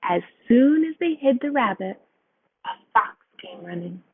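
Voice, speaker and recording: High-voiced, animated woman reading to children. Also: unhurried, husky. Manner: simple, child-directed, with questions to listeners. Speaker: storyteller reading a picture book to children. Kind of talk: read-aloud storybook narration. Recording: phone-like narrow sound.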